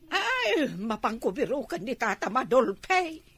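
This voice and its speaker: A woman's voice: sits high, sing-song, slow pace, a bit bright, husky, heavily acted. A woman playing a nagging, suspicious elderly wife in an Ilocano comedy drama, with an Ilocano accent from the northern Philippines. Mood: complaining, suspicious.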